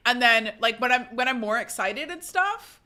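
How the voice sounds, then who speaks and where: A young woman streamer chatting casually and reading live comments, swearing freely and restarting her sentences. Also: moderate pace. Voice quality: says her voice is fairly low and relaxed when tired.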